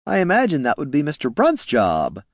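A man doing a high, oily gnome schemer. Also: huge pitch swings, moderate pace, dry recording, clean studio sound, exaggerated voice. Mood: silky threat. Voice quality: oily, mock-polite. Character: sly smug male gnome schemer, genteel.

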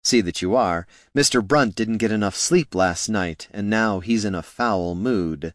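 Brief: man playing a low, soft-spoken threatening boss, measured, dry close read. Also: no echo, natural voice. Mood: menacing. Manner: cool, quiet, threatening. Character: menacing smooth male gangster boss.

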